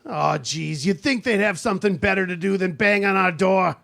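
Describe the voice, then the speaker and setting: Man playing a gravelly, sarcastic grumbling husband. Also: high voice, moderate pace, a bit bright, heavily acted. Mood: exasperated, sarcastic. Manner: wisecracking, sardonic, colloquial. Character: grumbling, sarcastic working-class husband in a bickering couple. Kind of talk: mid-century radio comedy-drama acting.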